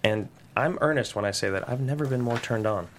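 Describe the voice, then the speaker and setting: A man's voice: medium pitch, fairly quick, a bit bright, a little hiss or hum, lightly acted. Man acting an earnest, wordy sitcom dad. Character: earnest, upbeat husband and dad, wordy and goofy. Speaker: actor at a table read. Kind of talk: sitcom script table read.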